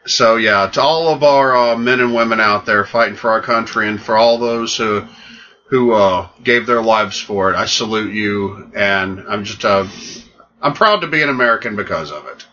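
A man co-hosting a podcast in casual chat, joking and halting over his words with fillers. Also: fairly low pitch, bright, forceful tone. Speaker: podcast co-host. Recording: dull lo-fi podcast audio.

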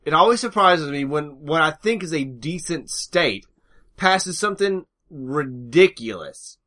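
A man's voice: on the high side, expressive, unhurried, bright, forceful tone. A man co-hosting a podcast in casual chat, rambling with many restarts and fillers. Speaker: podcast co-host.

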